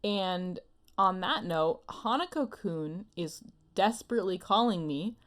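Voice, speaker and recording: A woman's voice: lowish voice, lively intonation, unhurried. A woman hosting a casual anime podcast, chatting in a playful, giggly manner. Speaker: podcast host.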